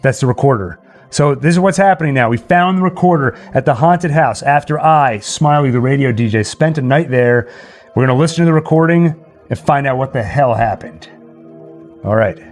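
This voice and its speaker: A man's voice: medium pitch, normal speed, faint music behind. A man hosting a stream, casually explaining a radio play's scenes to viewers. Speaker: stream host narrating a radio play.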